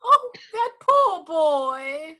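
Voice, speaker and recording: High voice, slow, injured female investigator, theatrical, evasive, insistent, radio drama. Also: very animated, bright, forceful tone, exaggerated voice. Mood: shocked, sorrowful. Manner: emphatic, theatrical, deliberate. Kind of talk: radio play acting.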